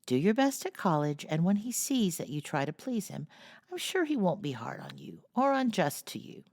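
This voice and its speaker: A woman's voice: lowish voice, huge pitch swings, moderate pace, darkish tone, voice pushed up, exaggerated voice. A woman voicing a proper, motherly seventeen-year-old girl in a classic storybook, earnest and lecturing.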